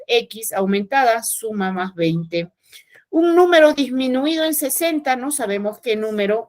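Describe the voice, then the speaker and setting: A woman teacher, explaining math step by step in an online class and often checking with her students. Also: fairly high pitch.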